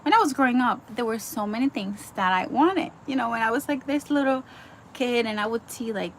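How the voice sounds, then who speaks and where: A woman's voice: on the high side, expressive, brisk, a little hiss or hum. A young woman from the Dominican Republic giving an earnest, casual devotional teaching, full of fillers and restarts. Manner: chatty, full of likes and ums, earnest. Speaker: Bible teacher.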